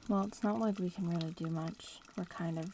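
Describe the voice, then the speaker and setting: A woman playing a young bratty witch in training in an ASMR roleplay, murmuring casually and without hurry, slipping between soft speech and whispers. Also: on the low side, fairly even intonation, fairly quick, noisy background.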